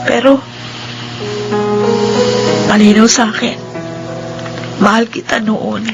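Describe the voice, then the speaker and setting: An actress playing a gentle, wistful blind young wife in a Filipino radio drama, with a Filipino accent and a soft-hearted conversational manner. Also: female voice, a little high, fairly even intonation, takes it slowly, gravelly, highs cut off, loud music behind the voice. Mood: tender, regretful.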